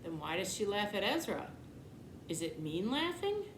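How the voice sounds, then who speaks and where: A woman's voice: mid-range voice, big pitch swings, normal speed. A woman reading the part of an earnest, blunt, literal-minded young boy in a children's storybook, matter-of-fact and insistent. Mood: questioning. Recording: echoey room, clear background noise.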